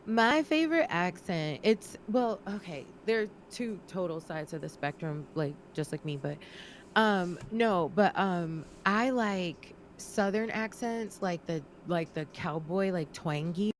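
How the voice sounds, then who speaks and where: A woman's voice: on the low side, takes it slowly, slight background noise. A young adult woman co-hosting a casual podcast chat, talking freely and laughing often. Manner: chatty, lively, laughs often. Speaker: podcast co-host.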